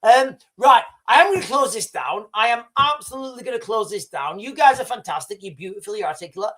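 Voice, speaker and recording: A man's voice: very high voice, animated, fast talker, bright, forceful tone. A man, hosting a casual live stream in a rambling way, repeating himself and calling the viewers guys. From United Kingdom. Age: 40s. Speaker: livestream host.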